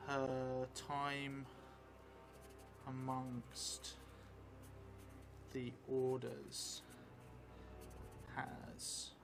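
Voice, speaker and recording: Slow, even-toned man musing over music. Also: medium pitch. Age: middle-aged. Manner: halting, musing. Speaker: solo role-playing game streamer. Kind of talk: thinking aloud while improvising a story. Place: small indoor room at a table. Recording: music behind the voice.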